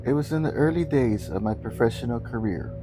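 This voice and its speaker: A man reading a Victorian ghost story aloud as scripted narration, deliberate and theatrical. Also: medium pitch, over music, rough recording. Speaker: guest story reader.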